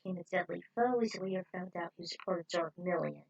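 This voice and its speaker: A woman's voice: on the low side, a little fast, gravelly, a little mellow, no echo, highs cut off. Woman informally narrating her fan fiction. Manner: informal, breaks off for opinionated asides. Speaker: storyteller reading own fan fiction.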